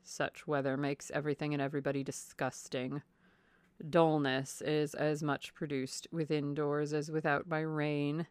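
A woman voicing a sour, bored, rude gentleman husband in a classic novel, with a disdainful drawl. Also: low-pitched, little pitch movement, brisk, hardly any pauses, clean tone, no room sound, voice pushed down, close to an everyday voice. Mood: bored, disgusted. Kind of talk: audiobook character voice, classic novel.

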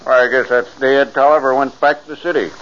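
An actor playing a plain, obliging helper to a doctor in a 1940s radio drama, speaking simply and folksily. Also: male voice, mid-range voice, steady tone, fast, a bit bright, natural voice. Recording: muffled old radio recording.

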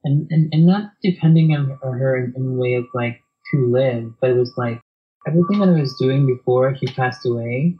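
A soft-spoken man, talking reflectively as an interview guest, hesitant with many fillers and restarts. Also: mid-range voice, normal speed, dull audio.

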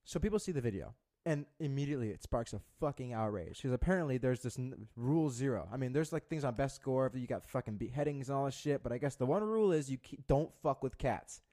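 A man's voice: medium pitch, expressive, fast talker, hardly any pauses, warm tone. Young guy on a podcast, rambly, swears a lot, keeps restarting his sentences. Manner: profane, rambling storyteller with many restarts. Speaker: podcast co-host. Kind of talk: casual chat.

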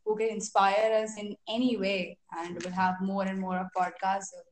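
A woman's voice: mid-range voice, flat delivery, normal speed, a little hiss or hum, rough recording. A woman co-hosting a podcast, chatting casually in a bubbly way and laughing often. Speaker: podcast co-host.

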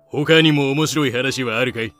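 A male actor plays a cool seafaring man in a pirate audio drama. He talks slowly and dryly, with a bright, clear-cut edge to his tone. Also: mid-range voice. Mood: dry, teasing. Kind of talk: Japanese fan-made audio drama acting.

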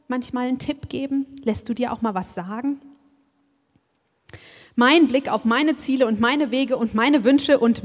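A woman delivers a sermon in a reflective, personal way and keeps turning to her listeners with questions. Her voice sits fairly high. Long reverb from a church hall trails behind her words. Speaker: preacher.

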